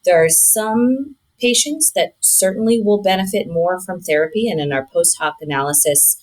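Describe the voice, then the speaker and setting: A woman with a somewhat low-pitched voice speaks as a medical researcher being interviewed. She explains things in a measured, articulate manner and is comfortable with clinical vocabulary. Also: low-quality recording. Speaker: physician researcher interviewed as guest.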